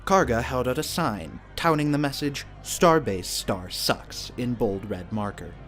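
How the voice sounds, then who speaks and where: A man's voice: medium pitch, animated, a little slow, a bit bright. A man reading scripted narration for an audio drama. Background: music.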